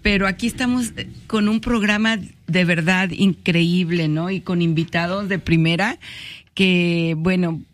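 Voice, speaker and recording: A woman's voice: lowish voice, steady tone, unhurried. A woman hosting a casual radio talk show, welcoming and addressing her audience directly in a conversational way. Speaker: radio host. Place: radio studio.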